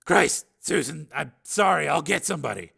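A man voicing an embittered, volatile male cyborg in an audiobook, with an intense, forceful delivery. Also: mid-range voice, huge pitch swings, unhurried, creaky, breathy edge, full character voice. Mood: remorseful.

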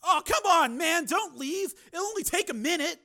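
A man's voice: very high-pitched, animated, normal speed, bright, forceful tone, voice pushed up, heavily acted. A man voicing a pushy, overfriendly bar patron with a brash, wheedling manner.

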